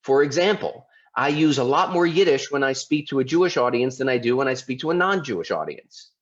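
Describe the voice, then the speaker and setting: A man's voice: medium pitch, lively intonation, fairly quick. A man from Baltimore giving an informal presentation as a lawyer, talking conversationally with restarts and rhetorical questions. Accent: Baltimore, mostly suppressed. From Baltimore, United States. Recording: slightly dull lo-fi audio.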